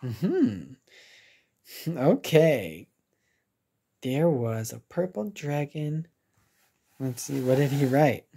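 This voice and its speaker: A woman's voice: extremely low pitch, big pitch swings, extremely slow, vocal fry, long pauses, mellow, slightly rough. An American woman teacher reading a children's picture book aloud, expressively, with simple asides and reactions for young learners.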